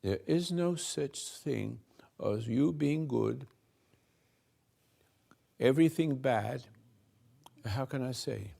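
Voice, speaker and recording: An older man slowly giving a rambling spiritual talk to a room, halting and restarting, swinging between soft and loud. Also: medium pitch, lively intonation, creaky. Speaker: spiritual teacher hosting a talk.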